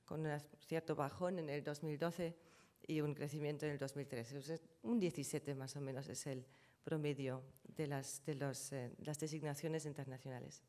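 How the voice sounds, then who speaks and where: A woman with a notably low voice presents at a conference, her first language being German. She explains figures from her slides one by one and often corrects herself mid-sentence. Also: fairly even intonation, moderate pace, dark tone. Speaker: conference speaker on trademarks.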